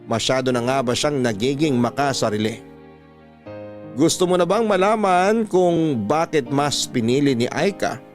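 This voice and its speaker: Man narrating a dramatic radio story. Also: mid-range voice. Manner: dramatic, questioning teaser delivery. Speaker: narrator and host.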